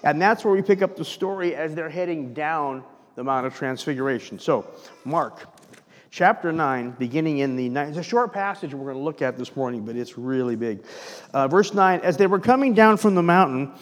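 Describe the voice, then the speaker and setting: Animated pastor preaching in a reverberant hall. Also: male voice, on the high side, normal speed. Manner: animated preaching with rhetorical questions. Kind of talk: sermon. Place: church sanctuary.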